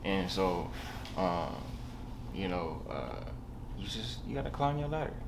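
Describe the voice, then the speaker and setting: Low-voiced young SoCal man, noisy recording. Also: sing-song, a little slow. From Southern California, USA. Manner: slangy, rambling, hesitant, punctuated with bro and you know. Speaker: podcast guest, barber. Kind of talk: casual chat, telling life story.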